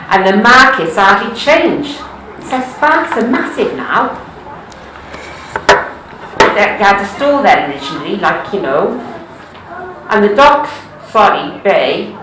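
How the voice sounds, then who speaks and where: Cardiff woman reading poetry live, chatty asides, echoey room, bit of hiss behind. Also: mid-range voice. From Wales. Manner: lively, chatty asides between poems. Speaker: poetry reader.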